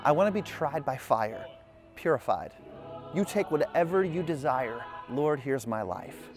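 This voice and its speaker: A man's voice: on the high side, big pitch swings, unhurried. A man in his thirties hosting a family vlog, narrating in an upbeat, earnest way. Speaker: vlog host. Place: outdoors in a park. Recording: music bed behind voice.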